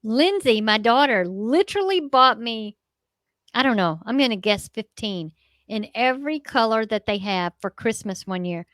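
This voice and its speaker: A woman's voice: on the high side, animated, normal speed, fairly bright tone, no room sound, clean studio sound. A middle-aged American woman co-hosting a show with casual, folksy, unscripted chat peppered with mild swearing. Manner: folksy, salty-tongued. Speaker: co-host of a paranormal show.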